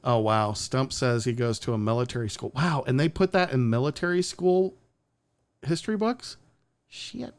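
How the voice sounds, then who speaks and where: A man's voice: mid-range voice, moderate pace. Male stream host, blunt and sarcastic, casual opinionated delivery, swears freely. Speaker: podcast host.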